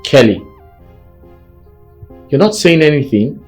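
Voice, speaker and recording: An actor playing a calm, concerned man in a film drama, speaking conversationally. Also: fairly high pitch, slow pace, faint music behind. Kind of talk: low-key film drama dialogue.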